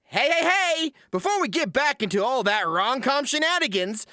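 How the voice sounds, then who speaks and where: Very high-pitched, wildly animated male host. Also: moderate pace, no room sound, clean studio sound. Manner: hammy, exclamatory. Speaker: YouTube anime reviewer and host. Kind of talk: energetic scripted comedic narration.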